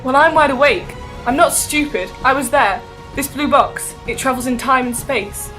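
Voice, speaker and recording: A woman acts out a stubborn, plucky young babysitter in an audio drama. Her voice is fairly high and insistent, with faint music underneath. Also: normal speed, fairly bright tone, low-quality recording.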